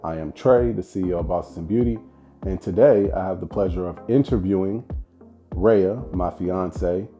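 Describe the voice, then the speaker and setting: Deep dark-voiced male podcast host. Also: moderate pace, soft background music. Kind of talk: interview.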